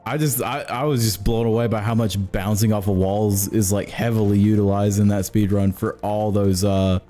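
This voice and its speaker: A man's voice: on the low side, level tone, moderate pace, mellow. A man hosting a casual gaming livestream, chatting playfully and joking as he plays. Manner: playful, jokey. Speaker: livestream host playing a game. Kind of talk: casual gaming stream chat. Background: music.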